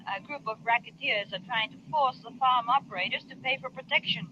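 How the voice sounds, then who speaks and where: A confident undercover space agent reports crisply in a piercingly bright voice. The 1950s radio drama recording is muffled, with faint noise. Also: female voice, on the high side, a little fast. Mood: urgent, businesslike. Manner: crisp, businesslike.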